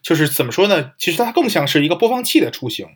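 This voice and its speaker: A man with a somewhat high voice speaks quickly in a casual podcast discussion. He often doubles up words while working out each point as a co-host. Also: sing-song, breathy edge. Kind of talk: casual discussion of audio gear.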